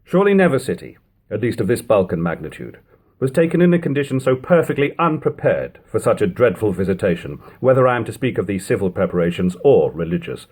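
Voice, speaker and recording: A man reading an old historical narrative aloud in a measured, expressive way, as an audiobook reader. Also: medium pitch, slightly rough. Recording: lo-fi amateur home recording.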